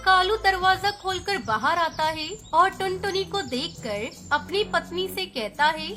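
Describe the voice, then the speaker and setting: Very high-pitched woman narrating a children's story. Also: a little slow, sharp tone. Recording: music behind the voice.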